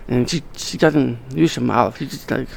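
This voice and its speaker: A middle-aged man with a deeply gravelly, fry-heavy voice talks in a casual, blunt way and swears freely. He often restarts his sentences, and a faint hiss sits under his words. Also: normal speed. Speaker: podcast guest, wedding videographer. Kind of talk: casual conversation.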